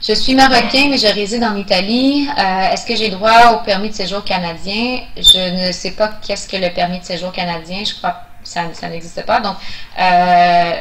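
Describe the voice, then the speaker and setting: A woman's voice: on the low side, a bit bright, a little hiss or hum, rough recording. A woman answering questions in a webinar as an immigration officer, explaining procedures in a hesitant, informal way.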